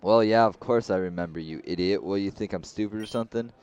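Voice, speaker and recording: A man's voice: on the low side, quick pace, a little mellow, clear, resonant tone, close to an everyday voice. A man reading the lines of a cocky teenage rival boy, taunting and smug. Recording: slightly dull lo-fi recording.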